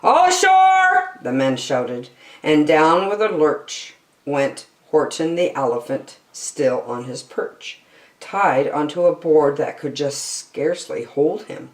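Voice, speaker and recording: A woman storyteller reading a children's storybook expressively, with dramatic, deliberate phrasing. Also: low-pitched. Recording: roomy echo.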